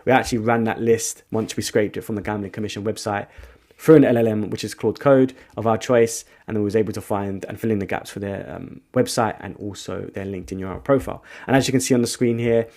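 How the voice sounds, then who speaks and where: A man walking viewers through a software tool in a casual screen-share tutorial, thinking out loud with frequent restarts. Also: on the low side, steady tone, fast talker. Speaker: agency founder giving a software tutorial.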